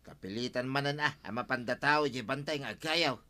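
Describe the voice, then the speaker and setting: A blunt, grumbling village man who sells firewood, played by an actor. The voice is mid-pitched, bright and forceful, in the style of Filipino radio comedy drama. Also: a little fast, natural voice.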